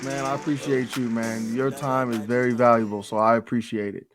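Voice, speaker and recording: A man's voice: medium pitch, little pitch movement, a little fast, music in the background. A man hosting a hip-hop interview show, chatting casually in a laid-back, slangy, agreeable way.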